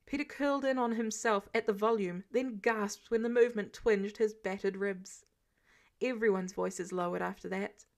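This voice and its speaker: A woman reading a scripted story as an audiobook narrator, expressively and acting out the dialogue. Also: medium pitch. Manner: acts out dialogue. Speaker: audiobook narrator of fan fiction.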